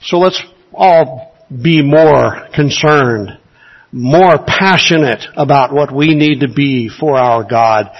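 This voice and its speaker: A man's voice: mid-range voice, slow pace, muffled recording. A man preaching a sermon in an earnest, exhorting way, asking his listeners rhetorical questions.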